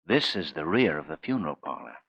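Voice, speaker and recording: Casting: suave radio sleuth, low, bright, lively voice, clipped delivery, muffled vintage recording. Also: male voice, fast, gravelly, breathy edge, full character voice. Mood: hushed, wry. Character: brisk, confident adult male amateur sleuth. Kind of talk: 1930s radio mystery acting.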